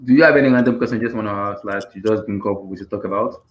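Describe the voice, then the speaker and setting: A man's voice: on the low side, racing, roomy sound, dull audio. A man hosting a casual football podcast, mostly giving short agreeing replies and easygoing questions. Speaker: podcast host.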